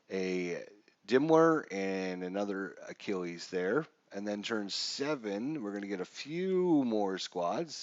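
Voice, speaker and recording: A lower-voiced man with a stuffy, congested nose, chatting casually and filling pauses as he walks through a game setup. The audio is dry and a bit dull, like a lo-fi stream mic. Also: no echo. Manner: casual, chatty with frequent fillers. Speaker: live stream host. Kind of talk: live wargame walkthrough.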